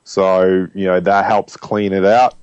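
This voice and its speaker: A man with a fairly deep voice talks as an interview guest, explaining things conversationally with emphatic personal anecdotes. The sound is slightly dull and lo-fi, with little room around it. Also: little pitch movement, a little slow, darkish tone, clean tone, no echo. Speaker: interview guest, alternative health advocate.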